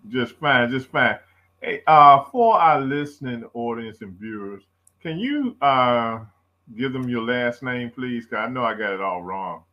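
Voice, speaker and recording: A man's voice: mid-range voice, animated, a little slow. A man hosting a radio interview show, chatty and rambling with plenty of fillers. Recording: dull lo-fi sound.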